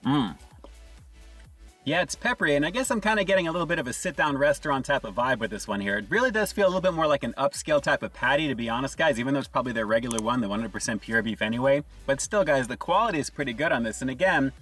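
Upbeat fast-talking man in a car. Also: mid-range voice, expressive, fairly bright tone, breathy edge, recorded from a distance, faint music behind, low-quality recording. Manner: upbeat, enthusiastic, often says "guys". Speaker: food reviewer. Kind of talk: food review vlog. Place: inside a parked car.

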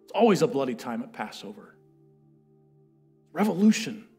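A man in his thirties preaching from a church stage, telling history with lively, building emphasis. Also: medium pitch, normal speed, slightly rough, soft background music. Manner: animated storytelling, building to loud chanted quotes. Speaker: pastor. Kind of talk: sermon. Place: church stage with a lectern, large indoor room.

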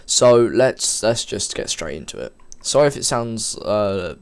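A man with a somewhat low voice, a little stuffed up and sniffly from a cold. He talks informally at his desk, wandering and correcting himself as he types through a game-making tutorial. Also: very animated, measured pace, clean tone. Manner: informal, rambling, self-correcting while typing. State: has a cold, a bit sniffly. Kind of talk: casual screen-recorded coding tutorial. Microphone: desk microphone.

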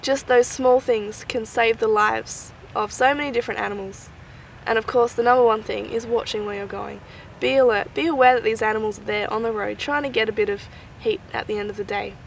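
A woman's voice: on the high side, steady tone, fairly quick, creaky, slight background noise, poor audio. A young Australian woman giving casual, enthusiastic wildlife commentary in a gushing, chatty way with informal slang. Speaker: wildlife vlogger.

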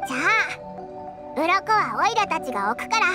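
A woman voicing a tiny, spirited, childlike companion in a Japanese anime game, squeaky and brash with boyish phrasing. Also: very animated, moderate pace. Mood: encouraging. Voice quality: high, squeaky. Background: music.

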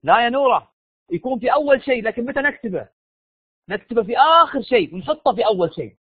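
A Saudi man teaches a live online class in a high, tired voice. He talks fast and informally, repeating himself and pressing for answers. The audio is dull and lo-fi. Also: expressive, breathy edge, no echo. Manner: informal, repeats phrases, prods listeners for answers. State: tired, strained voice from losing it. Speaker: university lecturer. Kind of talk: live online lecture, questioning students.

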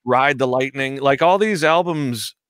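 A man's voice: medium pitch, a little slow, a bit bright, smooth, dry recording. A man in his forties chatting casually on a video call from his home studio, rambling and joking, now and then swearing. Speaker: guest musician. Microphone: headset microphone on video call.

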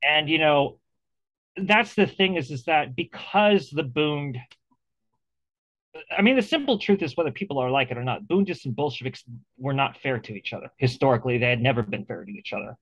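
A man's voice: fairly high pitch, animated, normal speed, fairly bright tone, no room sound, lo-fi sound. A man hosting an interview over a video call, talking casually and animatedly, with many restarts and fillers. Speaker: interview host.

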